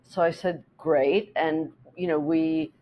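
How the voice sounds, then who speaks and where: A woman real estate agent whose first language is German, calmly explaining her work and telling a client story in an interview. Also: sits low, slow, a little hiss or hum. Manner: steady, explanatory, with small restarts.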